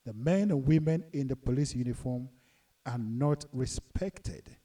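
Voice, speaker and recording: A man's voice: mid-range voice, animated, mellow, recorded from a distance. A middle-aged man from Ghana with a Ghanaian accent, giving an earnest, emphatic lecture to his channel's viewers. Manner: earnest, deliberate, emphatic, rising on key points. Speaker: channel host and commentator. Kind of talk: lecturing monologue.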